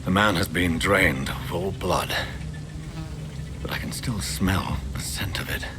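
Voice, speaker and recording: A man's voice: sits low, unhurried, noisy background. A man playing a tormented, newly turned vampire in a video game, brooding in formal, theatrical monologue. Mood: grim, searching. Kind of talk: dramatic video game acting, inner monologue. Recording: loud game music behind. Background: game music.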